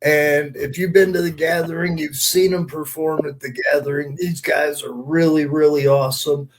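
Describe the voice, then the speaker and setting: Male podcast co-host, somewhat high voice, loose and sweary casual talk. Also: steady tone, moderate pace, poor audio. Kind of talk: casual chat.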